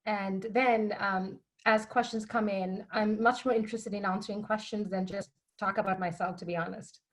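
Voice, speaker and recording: A woman's voice: mid-range voice, fairly even intonation, normal speed, low-quality recording. A woman giving an informal, polite and earnest talk to students as guest speaker over a video call. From Bangladesh.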